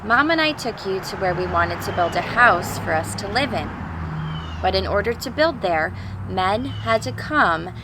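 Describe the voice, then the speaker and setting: A woman's voice: medium pitch, lively intonation, unhurried, very noisy. A woman reading a storybook aloud to young children, expressively, with simple chatty asides and questions. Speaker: teacher reading a picture book aloud to children.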